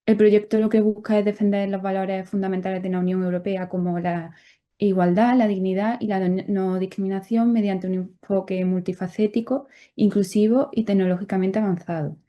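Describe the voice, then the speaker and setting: A woman's voice: mid-range voice, steady tone, brisk, muffled recording. A woman presenting her nonprofit's project at an online event, earnest and hesitating often.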